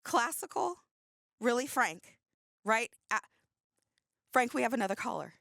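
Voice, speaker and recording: An exasperated female radio co-host, played by a woman, high and very animated. She is sharp, pleading and insistent in audio drama style, with a dry, close sound. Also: unhurried, vocal fry, no echo, full character voice.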